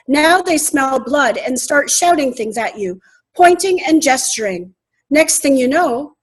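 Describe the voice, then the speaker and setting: Casting: actress for high-pitched, scornful know-it-all woman, deliberate and pointed, readers theater comedy. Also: poor audio. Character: critical, pedantic, dryly scornful woman at the table.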